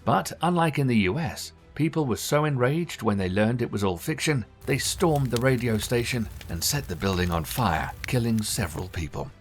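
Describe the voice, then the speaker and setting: A man reading scripted documentary narration in a measured, formal way. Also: medium pitch, lively intonation, soft background music.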